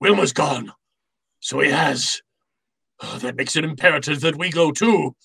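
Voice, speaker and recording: Slow, gravelly, courtly fat villain. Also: male voice, a little high, pushed and bright, dry recording, clean studio sound, full character voice. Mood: suave resolve. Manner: florid, courtly, unhurried, chuckling politeness. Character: fat, genteel, wily middle-aged criminal mastermind. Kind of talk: old-time radio drama acting.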